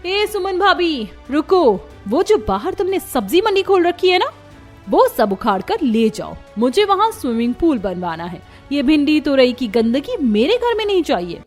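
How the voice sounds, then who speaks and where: A woman acts out a greedy modern daughter-in-law in a cartoon family drama. Her voice is high, her manner bossy and contemptuous. Soft music plays underneath. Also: very animated, normal speed. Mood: scornful. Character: Riya, haughty, greedy, domineering modern young daughter-in-law. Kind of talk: Hindi cartoon story dub.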